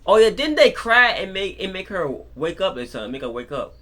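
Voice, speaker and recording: Excitable rambling man, animated high-ish voice. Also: fairly quick. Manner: excitable, rambling, repeats words and phrases. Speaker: amateur film reviewer. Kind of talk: unscripted casual film review, retelling scenes.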